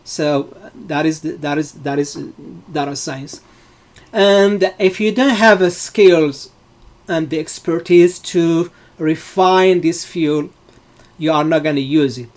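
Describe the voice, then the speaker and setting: A middle-aged man from Morocco, his voice sitting a little high, teaches a live online class. He speaks in loose, halting phrases padded with fillers as he explains each point to his students. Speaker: data science instructor.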